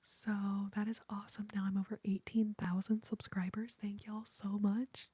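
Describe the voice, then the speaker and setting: A woman whispers very close to the microphone in an airy, almost voiceless hush. Her tone is mellow and soft-edged, and she speaks in a heartfelt, grateful way. The sound is dry, with no room echo. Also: mid-range voice, little pitch movement, moderate pace, breathy edge. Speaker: ASMR YouTuber hosting a livestream. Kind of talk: whispered ASMR livestream chat.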